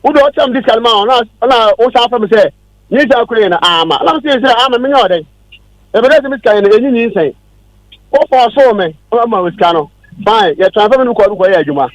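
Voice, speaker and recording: High-voiced, insistent Ghanaian man. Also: lively intonation, fast, dry recording, lo-fi sound. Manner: insistent, repetitive. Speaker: caller on a radio show. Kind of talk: heated argument.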